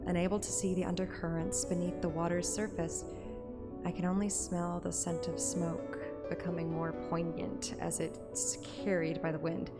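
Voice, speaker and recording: Woman, slightly low voice, expressive first-person narration, fantasy audiobook style, clear music behind. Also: normal speed.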